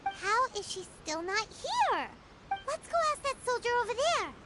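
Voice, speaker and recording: A woman voicing a tiny, childlike, food-loving fairy companion in an anime game, with a bubbly, expressive manner. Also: moderate pace, background noise. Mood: puzzled. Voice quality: squeaky, childlike. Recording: background music under the voice.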